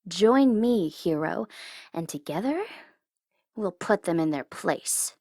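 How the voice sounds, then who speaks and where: A woman's voice: mid-range voice, no room sound, clean studio sound, close to an everyday voice. A woman playing a taunting, coy and commanding supervillain who is secretly smitten, in an ASMR audio roleplay. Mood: commanding, coaxing. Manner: taunting, coy, commanding, with drawn-out deliberate phrasing. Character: teasing, menacing tsundere female supervillain, secretly smitten.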